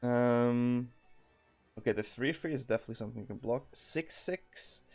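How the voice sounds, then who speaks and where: A man's voice: medium pitch, normal speed, a little mellow, faint music behind. A young man with a Swiss accent giving casual, thinking-aloud commentary as he plays a card game, with frequent ums and restarts. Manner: thinking aloud, many ums and self-corrections.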